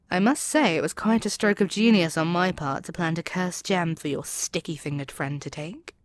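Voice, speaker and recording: A woman's voice: on the low side, lightly acted. A woman voicing an arrogant, seductive demon queen in an ASMR audio roleplay, speaking in an imperious, coaxing way that can drop toward a whisper. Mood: smug gloating. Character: arrogant, seductive demon queen probing a captive hero's mind.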